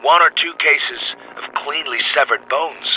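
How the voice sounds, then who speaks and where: An excited expedition scientist in a radio drama, sending bulletins by wireless. His voice is fairly high and extremely bright, with clipped, precise, urgent phrasing. Faint noise colours the transmission. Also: unhurried, heavily acted, poor audio. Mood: intent, urgent. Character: excited, driven male field scientist dictating wireless bulletins.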